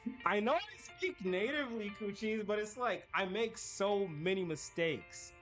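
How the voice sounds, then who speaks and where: A man's voice: high-pitched, moderate pace, fairly bright tone, faint music behind. A man streaming a game, chatting casually with his viewers in a rambling way. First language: English. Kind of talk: casual live-stream chat while gaming.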